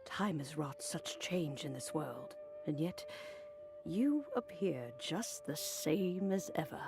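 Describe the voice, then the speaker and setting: A woman voicing a kindly, wise elderly lady in a video game, gracious and gently teasing. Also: deep voice, expressive, heavily acted. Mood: fond, amused. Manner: unhurried, gracious. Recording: game music behind.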